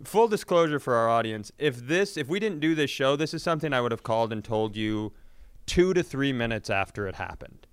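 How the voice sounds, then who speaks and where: A man's voice: mid-range voice, lively intonation, brisk, smooth, dry recording. A man co-hosting a comedy podcast, telling stories casually in a confessional, self-deprecating way. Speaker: podcast co-host.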